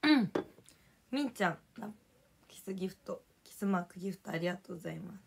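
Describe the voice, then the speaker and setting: Young female streamer, voice hoarse, casual chatty delivery, reads viewer names out between topics. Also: mid-range voice, expressive, quick pace. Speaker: livestreamer. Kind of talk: casual live stream chat, thanking viewers for gifts.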